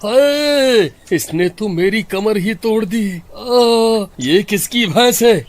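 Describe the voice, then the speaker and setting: A man's voice: high voice, slow. A man voicing a greedy, cowardly village milkman in a melodramatic cartoon story, whiny and exclaiming. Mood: pained, whining.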